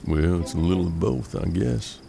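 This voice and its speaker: A man acts as a seasoned western detective and mentor. His voice is low and gravelly with a dark, mellow tone, and he speaks in a measured, folksy, world-weary way over faint music. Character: seasoned, gruff frontier detective and bounty hunter, mentor. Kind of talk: western audio drama acting.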